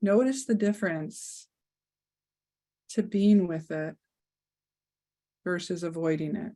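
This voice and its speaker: Slow, soothing woman guiding meditation. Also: lowish voice. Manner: slow, soft, soothing, with long pauses. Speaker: meditation guide. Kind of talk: guided meditation.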